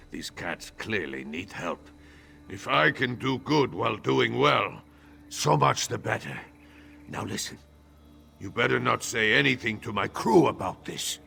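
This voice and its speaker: Gravelly, roguish sea captain. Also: male voice, mid-range voice, pushed and bright, a little hiss or hum, heavily acted. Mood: grudging, guarded. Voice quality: gravelly, creaky. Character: gruff roguish sea captain, mercenary with a soft heart. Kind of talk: video game character dialogue.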